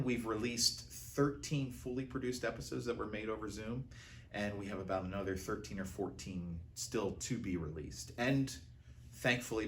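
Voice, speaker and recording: An American man with a low-pitched voice talks in an interview. He recounts things in a conversational, storytelling way. A light layer of background noise sits behind him. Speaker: art director of a theater and radio drama troupe, interviewee.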